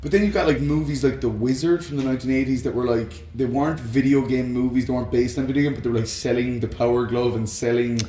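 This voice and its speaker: A man's voice: mid-range voice, steady tone, fast talker, hardly any pauses, warm tone, a little hiss or hum. Man chatting with rambling enthusiasm, roomy echo. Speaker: podcast co-host. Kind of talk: casual chat about film and games.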